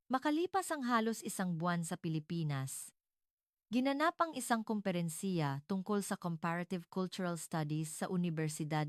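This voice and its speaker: A woman reading scripted dramatic narration in a formal, measured storytelling manner. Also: deep voice, clear, resonant tone, dry recording, studio quality.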